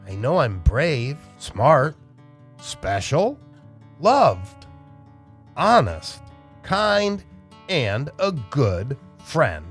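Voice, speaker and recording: A man voicing an earnest young girl in a children's storybook, speaking deliberately. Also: mid-range voice, big pitch swings, fairly bright tone, soft background music, heavily acted. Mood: growing confidence. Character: earnest young girl gaining self-confidence.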